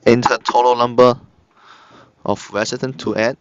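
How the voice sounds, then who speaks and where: A man narrating a step-by-step software tutorial in short, halting instructional phrases. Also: medium pitch, fairly even intonation, no echo. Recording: dull lo-fi computer recording. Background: screen-recorded tutorial voice-over.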